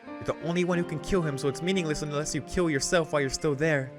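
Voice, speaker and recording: A man puts on an ancient vampire woman from a visual novel. She is blunt and self-assured, speaking in a raised pitch over music. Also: fast, close to an everyday voice. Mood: urgent, commanding. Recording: music behind the voice.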